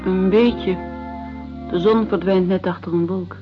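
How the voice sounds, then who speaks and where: A woman's voice: lowish voice, steady tone, measured pace, close to an everyday voice. An actress playing a troubled, guarded young woman in a radio drama, earnest in her delivery. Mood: startled, reserved. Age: young adult. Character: anxious young woman searching for her exiled brother. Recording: dull radio recording with music.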